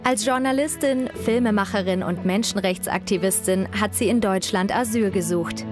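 A woman's voice: medium pitch, moderate pace. A woman reading a polished, scripted documentary narration. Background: music.

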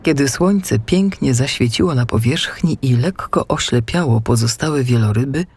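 A man narrating a children's audiobook in an expressive, scripted storytelling style. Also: mid-range voice, moderate pace, slight background noise.

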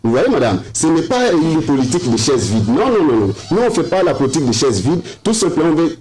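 A man's voice: a little high, sing-song, quick pace, darkish tone, soft background music, low-quality recording. A man with a Congolese accent arguing as a panelist in a televised political debate, emphatic and repeating himself for force. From Democratic Republic of the Congo. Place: TV studio round table. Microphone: desk microphone.

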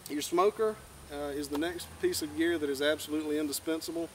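A man's voice: a little high, fairly even intonation, fast talker. A young man giving an informal, plain-spoken, folksy instructional talk to camera outdoors. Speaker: beekeeper giving a tutorial. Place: outdoors in a grassy clearing by woods. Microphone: far camera. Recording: distant camera mic, outdoor background noise.

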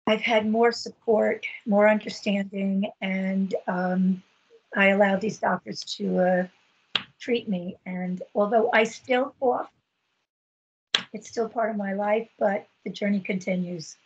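A middle-aged woman talks on a video call. Her voice is husky and breathy, and her telling is earnest and halting. The sound is dull and lo-fi. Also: medium pitch, fairly even intonation. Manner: earnest, halting, many fillers. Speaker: patient advocate. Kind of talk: personal story in a video meeting.

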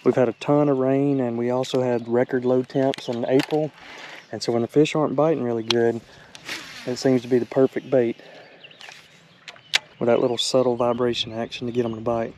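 A man with an average-pitched voice hosts a casual fishing vlog. He talks in a folksy way to an action camera on a boat, with faint outdoor noise around him. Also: little pitch movement, normal speed. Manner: folksy, addresses viewers as folks. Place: outdoors on a small boat on a lake. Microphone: action camera worn on or near the body.

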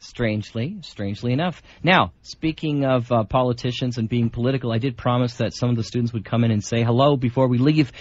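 A man's voice: medium pitch, a little fast, few pauses. A man hosting a radio show with casual, rambling banter in a chatty, conversational way. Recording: dull lo-fi radio recording.

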